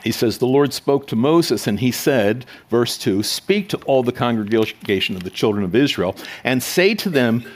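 A man preaching to his congregation in a church, his medium-pitched voice rising and falling with lively emphasis. He talks in a plain, conversational way, drops into quieter asides, then builds back up with rhetorical questions. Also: normal speed, few pauses. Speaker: pastor. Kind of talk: sermon.

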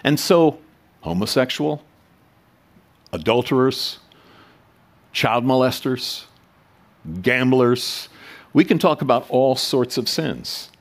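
A man's voice: medium pitch, slow pace, pauses often. A male pastor preaching a sermon in a conversational way, with emphatic swells and rhetorical questions to the congregation.

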